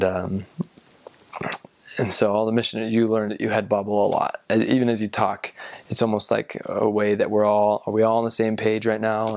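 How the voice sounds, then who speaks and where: A young man and former missionary talking casually to the camera, rambling and halting with lots of ums. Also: fairly low pitch, creaky, no echo. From United States. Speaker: returned missionary.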